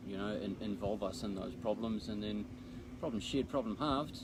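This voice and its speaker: Man, low-pitched, New Zealand accent, slangy and blokey, casual co-host talk, noisy ambient recording. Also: normal speed. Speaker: accountant and business adviser co-hosting a video. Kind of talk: casual chat to camera for a live social video.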